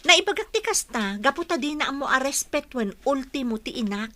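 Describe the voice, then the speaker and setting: A woman's voice: high voice, animated, moderate pace, sharp tone. A woman acting a young working daughter, heartbroken and indignant, in an Ilocano radio drama with an Ilocano Filipino accent. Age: young adult.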